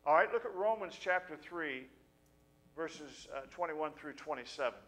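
A man's voice: on the high side, lively intonation, a bit bright, rough recording. A middle-aged man pastor giving an earnest, conversational sermon and reading scripture at a pulpit mic in a small church. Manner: earnest, conversational, with halting restarts. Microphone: pulpit stand mic.

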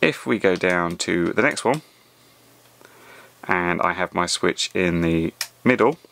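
A man's voice: low voice, expressive, slow, gravelly, a bit bright. A man presenting a casual hands-on tutorial in a conversational, step-by-step way. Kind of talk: casual spoken tutorial over a hands-on demonstration.

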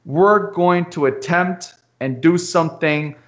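Earnest guy on a headset call, albanian first language, bit higher voice, muffled lo-fi audio. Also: slow pace. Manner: earnest, repetitive restarts. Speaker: podcast host. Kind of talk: casual video-call chat.

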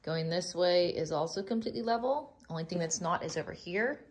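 A woman's voice: lowish voice, lively intonation, fairly quick, slight background noise. A woman casually narrating a home renovation project in an unfinished basement, upbeat and teasing. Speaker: co-host of a home renovation channel.

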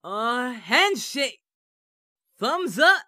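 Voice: mid-range voice, sing-song, extremely slow, bright, forceful tone, no echo, studio quality, full character voice. An actor voicing a naive, childlike, cheerfully dim male martial arts hero in a fan parody cartoon dub, with a guileless, eager manner. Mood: excited, eager.

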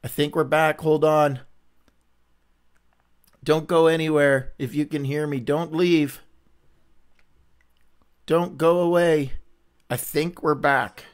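A man's voice: fairly high pitch, steady tone, takes it slowly, pauses often. A man hosting a casual livestream Bible reading, chatty and upbeat, greeting viewers by name, with a runny, stuffy nose.

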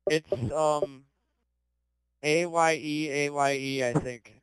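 A teenage boy talks over a gaming headset during online play, chatty and quick to giggle. His voice sits a little high and comes through smooth and dry, close to the mic. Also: fairly even intonation, dry recording. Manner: chatty, giggly, playful would-you-rather questions. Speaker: gamer in voice chat. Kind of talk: casual party chat while playing.

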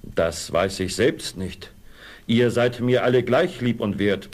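An actor playing a kindly, fair-minded ageing master miller in a fairy tale audio play. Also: male voice, fairly low pitch, takes it slowly. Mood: solemn.

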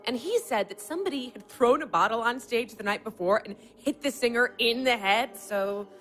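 Radio play: young American woman, wistful, candid, playful; high, very lively pitch. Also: moderate pace, faint music behind. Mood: animated storytelling. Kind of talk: naturalistic audio play acting.

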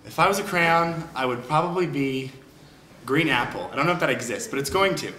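A man in his late teens from Georgia, answering interview questions playfully and with animated gestures. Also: fairly high pitch, fairly quick, roomy sound, a little hiss or hum, rough recording. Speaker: singing contest entrant. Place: wood-panelled room, seated in armchair.

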